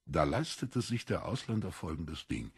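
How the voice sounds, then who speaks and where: Low, husky male storyteller. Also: very animated, moderate pace, dry recording, clean studio sound. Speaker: narrator. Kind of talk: expressive literary audiobook narration.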